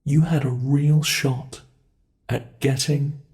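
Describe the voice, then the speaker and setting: A man playing a sadistic, gloating monster from under the bed in an ASMR horror roleplay, taunting and savoring every word with a gravelly voice. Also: mid-range voice, steady tone, slow pace, a little mellow. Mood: smug.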